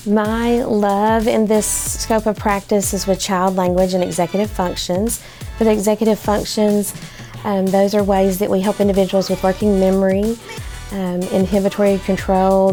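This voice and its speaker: Woman faculty intro, mellow dark tone, faint music underneath. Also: medium pitch. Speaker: speech pathology professor. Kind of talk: scripted self-introduction.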